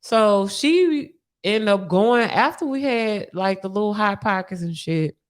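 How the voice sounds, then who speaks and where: A woman telling a personal story in casual, animated podcast style, with candid slang and frequent swearing. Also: medium pitch, unhurried.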